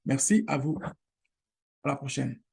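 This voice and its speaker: A man whose first language is Lingala, hosting a casual screen-share tutorial, halting and restarting often, speaking straight to his viewers. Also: mid-range voice, animated, creaky, darkish tone, breathy edge. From Congo region, Lingala first language. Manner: halting, with many restarts and fillers; direct appeals to viewers. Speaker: online course channel host.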